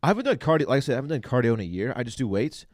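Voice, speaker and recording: A man's voice: mid-range voice, sing-song, very fast, dry recording, studio quality. A young American man casually chatting and bantering as a podcast co-host. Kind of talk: casual chat.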